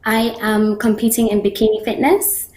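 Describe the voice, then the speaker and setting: A young Barbadian woman in her twenties, a guest athlete, answering interview questions in a relaxed, giggly way with lots of ums. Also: mid-range voice, normal speed, roomy sound, rough recording.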